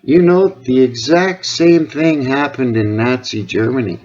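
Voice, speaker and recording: A Canadian man talks insistently in a phone conversation. His delivery is earnest and rambling, at a middling pitch, and the recording is somewhat dull and lo-fi. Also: a little slow, darkish tone. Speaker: caller, health awareness advocate.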